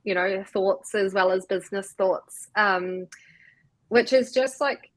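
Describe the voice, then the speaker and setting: Woman from New Zealand, business guest, thoughtful and halting, dry close mic. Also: mid-range voice, no echo. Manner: reflective, many fillers and restarts. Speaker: co-founder and co-CEO, guest. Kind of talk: casual interview answers.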